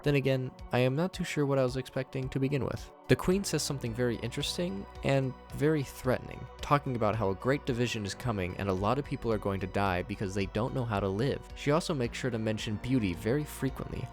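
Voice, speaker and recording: A man hosting a video recap, narrating scripted commentary on horror episodes. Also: mid-range voice.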